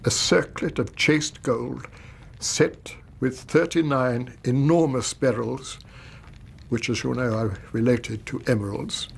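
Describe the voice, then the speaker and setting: A middle-aged English banker in a radio drama, a widowed and doting father sick with worry. His voice creaks as he speaks in formal, halting, agitated phrases. There is faint noise behind him. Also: mid-range voice, steady tone. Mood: anxious, precise.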